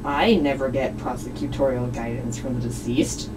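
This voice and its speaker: A woman's voice: extremely low pitch, steady tone, normal speed. A woman playing a stern, pompous, easily indignant male prosecutor in a puppet show, speaking formally and precisely. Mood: indignant, shocked. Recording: distant microphone, noisy room.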